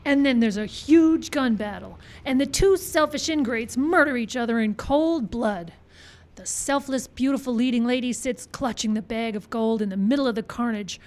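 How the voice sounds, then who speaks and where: A woman's voice: fairly high pitch, darkish tone, lightly acted. An actress playing a level-headed but sly woman who reasons insistently, in live old-time radio style. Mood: dramatic pitching. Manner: insistent, reasoning, seeking agreement. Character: level-headed woman screenwriter pleading with gunmen, slyly ambitious. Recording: live outdoor show with background noise.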